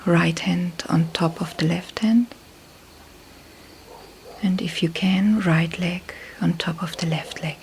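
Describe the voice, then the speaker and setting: A woman leading a guided meditation in a soft, breathy near-whisper, calm and unhurried, with long pauses between short phrases. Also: on the low side, slight background noise. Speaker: meditation teacher. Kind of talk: slow guided meditation instruction.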